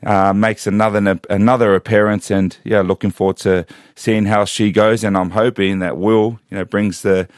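Male co-host, low voice, laid-back and self-deprecating, dry close mic. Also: moderate pace, few pauses, dry recording. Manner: relaxed, self-mocking, stumbles over words. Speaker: podcast co-host. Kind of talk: casual chat.

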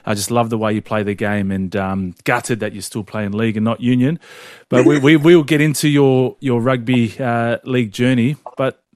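A man's voice: mid-range voice, fairly even intonation, darkish tone, dry recording. Chatty male co-host, dry close sound. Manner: chatty, jokey, quick to laugh. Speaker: interview co-host. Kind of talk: casual chatty interview.

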